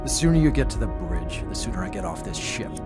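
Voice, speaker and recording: An actor playing a desperate, self-justifying military research scientist in a science fiction motion comic, speaking in intense, clipped explanations. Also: male voice, on the low side, sing-song, fast, over loud music. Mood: grim, explanatory. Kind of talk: dramatic motion comic acting.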